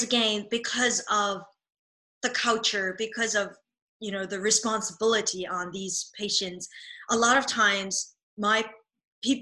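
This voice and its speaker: Bright-voiced woman. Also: medium pitch, rough recording. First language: Cantonese. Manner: earnest, enthusiastic, with frequent fillers and restarts. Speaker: dance movement therapist. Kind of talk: podcast interview guest.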